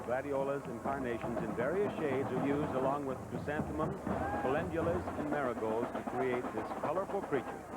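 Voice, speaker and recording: Man reading float descriptions. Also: mid-range voice. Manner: steady, reads lists of flower names. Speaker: parade commentator. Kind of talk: scripted float narration. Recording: noisy outdoor broadcast.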